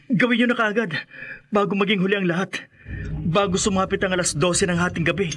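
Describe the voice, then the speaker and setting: A man's voice: high-pitched, steady tone, brisk, a bit bright. An actor playing an earnest young village man in a radio drama, speaking with urgent determination. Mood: urging.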